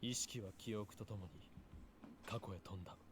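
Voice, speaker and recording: An actor playing an intense, self-serious young amateur scientist in a visual novel, explaining things seriously. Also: male voice, lowish voice, fairly even intonation, fast talker, background noise, lightly acted. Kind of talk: Japanese visual novel voice acting.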